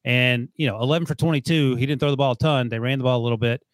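A man's voice: medium pitch, fairly even intonation, rapid-fire, no room sound. Chatty male football podcaster, dry mic. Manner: rambling, self-correcting, chuckles while talking. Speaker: podcast co-host. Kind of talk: casual sports analysis chat.

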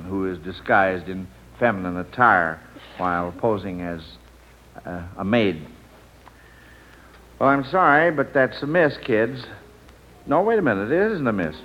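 A man with an American accent hosting an old radio quiz, phrasing questions deliberately with some hesitation. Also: mid-range voice. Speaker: quiz show host. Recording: muffled old 1940s radio recording.

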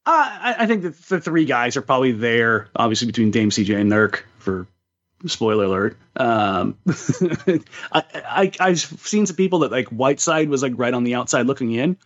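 Halting male sports co-host, slightly dull audio. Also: mid-range voice, expressive, a bit bright. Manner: halting, repeats words while thinking. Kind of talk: casual sports chat.

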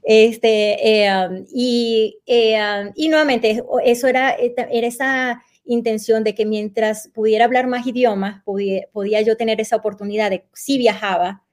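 A Venezuelan woman in her forties, a journalist being interviewed, recalling her path in a reflective storytelling way and pausing to search for words. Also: fairly high pitch, steady tone, darkish tone. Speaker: guest journalist. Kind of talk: relaxed conversational interview.

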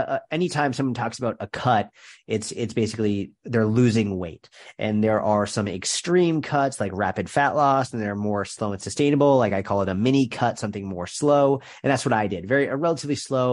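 A young man with a nasal, stuffy voice from a head cold, chatting fast as a podcast guest and restarting his sentences often. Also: mid-range voice. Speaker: podcast guest, strength and nutrition coach. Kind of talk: casual interview chat.

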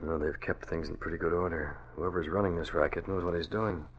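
An actor playing a resolute, calculating masked crimefighter in a 1940s radio drama, speaking in a measured, self-assured way. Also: male voice, low-pitched, steady tone, a little hiss or hum. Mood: musing. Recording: dull old radio recording.

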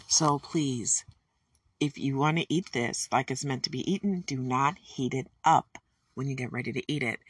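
A woman's voice: a bass voice, vocal fry, fairly bright tone, breathy edge, dry recording. Chatty folksy woman, distant mic. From Detroit, USA. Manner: chatty and folksy, with self-amused asides and corny jokes. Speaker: home cook. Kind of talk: casual cooking vlog. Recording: distant mic with guitar music behind. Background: guitar music.

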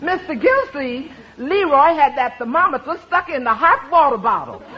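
An actress playing a shrewd, folksy housekeeper who speaks emphatically of herself by name in an old radio comedy. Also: female voice, high voice, big pitch swings, normal speed, noisy background. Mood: amused scolding. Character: warm, folksy, shrewd woman housekeeper and cook. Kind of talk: 1950s radio comedy acting. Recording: dull old radio recording.

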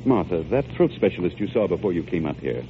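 An actor playing an earnest, regretful manager in a radio drama, speaking formally and solemnly. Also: male voice, low-pitched, very animated, fast talker. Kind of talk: 1940s radio drama acting. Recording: noisy, muffled old radio recording.

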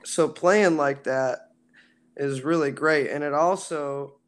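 A raspy-voiced man from a band talks loosely about his music. He rambles, circling back with fillers, in an easygoing interview tone. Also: fairly high pitch, takes it slowly. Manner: relaxed, rambling with many fillers. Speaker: band singer. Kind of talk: casual interview chat.

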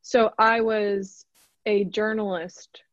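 A woman's voice: medium pitch, little pitch movement, slow pace, dry recording. Woman guest, light Arkansas accent, upbeat chat, slightly muffled dry line. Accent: light Arkansas Southern. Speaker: actor, guest. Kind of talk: casual interview chat.

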